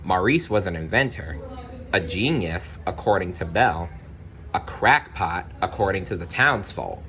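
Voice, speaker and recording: A man reading a children's story aloud, with expressive, deliberate narration and the odd personal aside. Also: sits low. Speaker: storybook reader.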